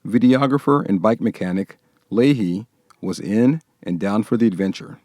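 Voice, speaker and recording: A man narrating a documentary in a measured, scripted voice-over. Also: fairly low pitch, mellow, no echo, clean studio sound.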